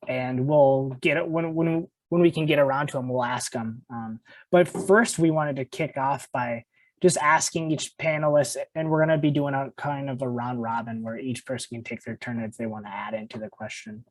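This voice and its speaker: A man's voice: on the high side, no room sound. A man moderating a webinar panel in a casual, easygoing way. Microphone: video call.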